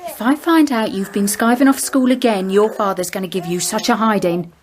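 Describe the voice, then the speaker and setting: An actress playing a harassed working-class English mother in a radio drama, sharp, nagging and long-suffering. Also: medium pitch, fairly quick, slight background noise, close to an everyday voice. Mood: suspicious.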